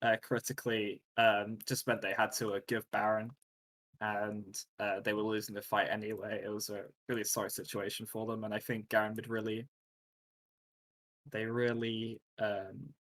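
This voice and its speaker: A man's voice: lowish voice, little pitch movement, moderate pace, fairly bright tone, rough recording. A man casting a live online game match, talking casually with many fillers and a wry, joking edge. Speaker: esports caster.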